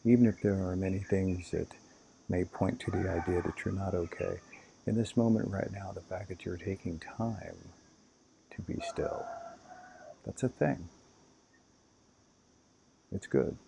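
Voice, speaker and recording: A man leading a guided meditation as a teacher, calm and unhurried, giving gentle instructions. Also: deep voice, vocal fry, noisy background.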